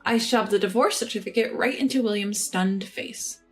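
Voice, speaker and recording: A woman narrating a scripted first-person revenge story in an even, measured reading. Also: medium pitch, faint music behind.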